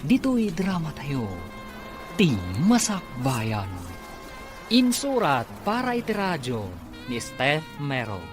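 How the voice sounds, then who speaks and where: A man's voice: on the high side, sing-song, takes it slowly. A man with an Ilocano accent announcing and narrating a radio drama in a dramatic scripted style. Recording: loud music behind the voice.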